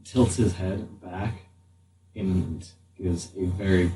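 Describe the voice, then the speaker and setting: Guy narrating a tabletop rpg, lowish voice, halting and unhurried, sitting a bit back from the mic. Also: steady tone, music in the background. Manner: halting, thinking aloud. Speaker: game master. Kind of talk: improvised tabletop game narration. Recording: slightly distant mic, faint room noise.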